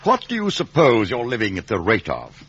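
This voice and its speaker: Exacting old-time lawyer, hugely varied pitch, husky, brusque, muffled vintage radio drama. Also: male voice, huge pitch swings, moderate pace, fairly bright tone, full character voice. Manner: brusque, precise, interrogating. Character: stern, domineering, exacting Victorian London lawyer. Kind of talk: 1950 radio drama acting.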